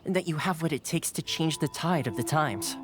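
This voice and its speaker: A male actor plays an easygoing young fixer and loyal retainer in a video game. His delivery is courteous and lightly teasing, with lively intonation. Clear music runs behind the voice. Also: a little high, normal speed, lightly acted. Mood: earnest, courteous.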